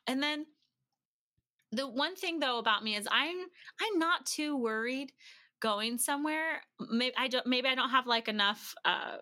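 Middle-aged woman, podcast guest, high-ish pitch, crisp bright tone, casual storytelling, chatty. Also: a little slow, no room sound, studio quality. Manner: chatty, animated retelling of exchanges in quoted dialogue. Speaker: podcast guest sharing family travel experience.

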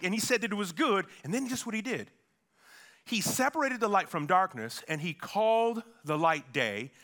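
Male pastor, high and bright-toned, conversational sermon delivery with dramatic pauses.

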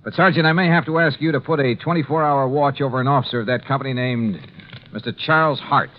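An American actor playing a brisk, sharp-minded insurance investigator in 1950s radio drama, clipped, probing and assured. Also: male voice, mid-range voice, natural voice. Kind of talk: 1950s radio drama acting and first-person narration. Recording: dull 1950s radio recording.

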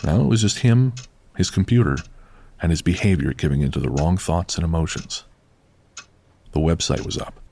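A man's voice: sits low, moderate pace, pauses often, warm tone, a little hiss or hum. A man narrating a scripted horror audio drama as storyteller.

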